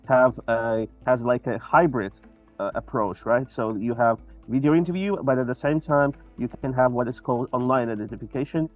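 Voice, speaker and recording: A man interviewing a guest, asking lively questions. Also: mid-range voice, no room sound.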